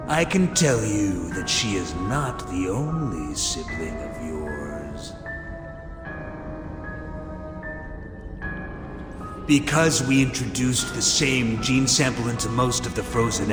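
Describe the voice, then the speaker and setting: A megalomaniac scientist villain from a video game with a fairly low voice. He gloats coldly and theatrically, stretching key words with smug satisfaction. The voice echoes, with music clearly playing underneath. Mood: smug, taunting. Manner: theatrical, gloating, drawn-out emphasis.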